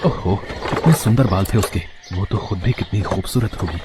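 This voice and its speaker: A man's voice: lowish voice, moderate pace, noisy background, close to an everyday voice. A man voicing a lovestruck, determined young prince in a fairy tale, formal and resolute. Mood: wistful.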